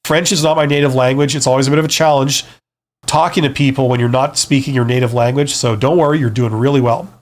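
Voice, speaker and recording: Male live stream host, career advisor type. Frank, casual, conversational delivery with frequent check-ins, medium pitch. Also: fairly even intonation, fast talker. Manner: conversational, frank, often checks in with "right?" and "you know?". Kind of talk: casual live stream advice, answering viewer comments.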